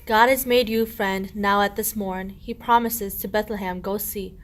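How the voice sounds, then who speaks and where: A woman's voice: medium pitch, fairly even intonation, normal speed, soft background music, natural voice. A woman playing a solemn, benevolent angel, proclaiming in rhymed verse for a radio play.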